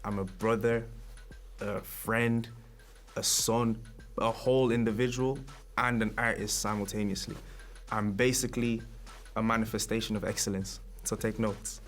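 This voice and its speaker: A young man from Nigeria, a rapper speaking in a documentary interview in a relaxed, reflective way, often chuckling. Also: on the low side, normal speed.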